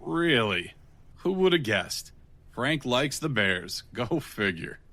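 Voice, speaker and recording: A man's voice: mid-range voice, sing-song, takes it slowly, sharp tone, full character voice. An actor playing a wry, unafraid tough guy in an audio drama, speaking in a dry, blunt, sardonic way. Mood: amused, sardonic. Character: wry, unafraid, plain-spoken male tough guy with a kind streak.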